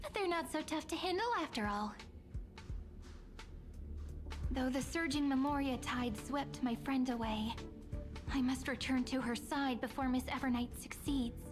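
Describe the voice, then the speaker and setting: Woman acting a curious young game heroine, high voice, expressive musing, plucky, music behind. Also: moderate pace, natural voice. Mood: wary, determined. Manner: expressive, musing aloud to herself.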